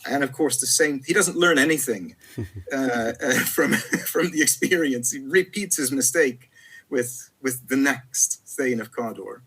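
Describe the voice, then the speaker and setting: Male poet guest, husky voice, mid pitch, halting and thoughtful, full of repeats. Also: animated, a bit bright, low-quality recording. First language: Italian. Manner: halting, many fillers and repeated words. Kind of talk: reflective conversational interview.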